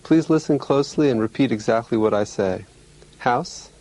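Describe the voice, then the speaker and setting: Man giving measured clinical exam instructions. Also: medium pitch, warm tone, rough recording. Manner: measured, polite, clearly worded instructions. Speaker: clinician demonstrating a mental status exam. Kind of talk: instructional exam demonstration, scripted questions and explanation.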